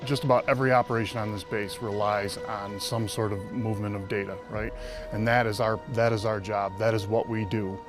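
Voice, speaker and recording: A man in a military role explaining his work emphatically in a documentary interview. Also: fairly low pitch. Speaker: air force communications airman.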